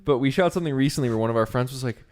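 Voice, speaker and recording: A man's voice: mid-range voice, rapid-fire, a little mellow. A young man, an actor, riffing loosely and joking during a film commentary, with frequent swearing. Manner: laid-back, joking, swears often. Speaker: co-host, actor.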